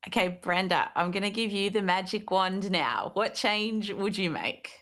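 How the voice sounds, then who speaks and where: A woman's voice: medium pitch, animated, normal speed, a bit bright. A woman moderating a panel discussion, putting upbeat, inviting questions to each speaker in turn.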